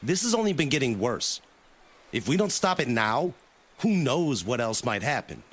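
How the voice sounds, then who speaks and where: An actor playing an exasperated, hot-tempered, sarcastic boat business owner in an audio drama. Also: male voice, medium pitch, normal speed, slightly rough. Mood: resigned, worried. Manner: animated, exclaiming, sarcastic.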